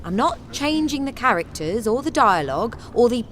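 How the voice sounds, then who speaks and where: An actress playing a brisk, decisive female theatre director in a radio drama. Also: fairly high pitch, very animated, a bit bright, a little hiss or hum. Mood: earnest, persuasive. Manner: commanding, decisive.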